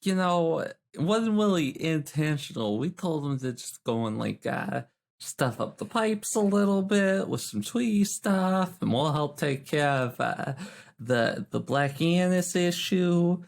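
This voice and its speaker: A cocky young student of magic as a tabletop player voices them, blunt and slangy. The voice sits mid-pitched with a gravelly creak, and sounds quick to defend itself. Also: expressive. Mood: casual, excusing. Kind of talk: improvised tabletop roleplay in character.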